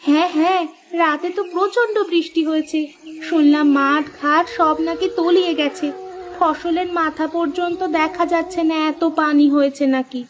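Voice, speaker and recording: A woman's voice: very high-pitched, fairly even intonation, moderate pace, lo-fi sound, a crowd in the background. A woman voicing a caring, practical village housewife in a cartoon story, fussing and mildly nagging. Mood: concerned.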